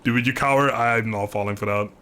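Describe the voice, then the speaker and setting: A man livestreaming a video game, chatting informally and thinking aloud in a halting, casual way. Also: medium pitch, steady tone, a bit bright, a little hiss or hum. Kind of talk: casual livestream chat over gameplay.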